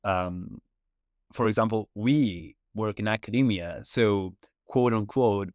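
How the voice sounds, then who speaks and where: A man's voice: on the low side, dry recording, clean studio sound. A young man hosting a podcast in casual conversation, musing and trailing off with unsure asides. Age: young adult. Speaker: podcast host.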